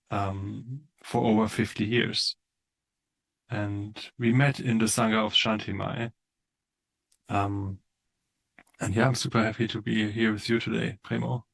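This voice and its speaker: A man hosting a podcast interview who talks gently and encourages his guest with frequent soft affirmations. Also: on the low side, gravelly. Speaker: podcast host.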